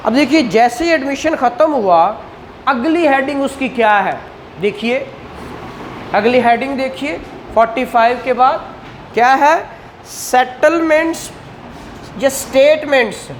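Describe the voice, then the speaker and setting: A man's voice: very high voice, unhurried, pauses often, slight background noise, rough recording. A man with a Pakistani accent giving a classroom law lecture, didactic, often prompting listeners with questions and repeating the answers. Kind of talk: classroom exam-prep lecture.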